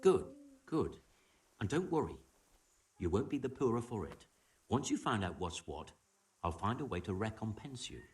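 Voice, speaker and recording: Wary, animated medieval tradesman. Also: fairly low pitch, moderate pace, pauses often, distant mic. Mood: conspiratorial. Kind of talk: video game dialogue acting.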